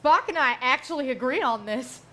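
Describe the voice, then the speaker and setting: A woman's voice: high-pitched, lively intonation, brisk, pushed and bright. A woman playing a blunt, grudging starship officer in a stage musical parody. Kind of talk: musical parody stage acting and backup singing.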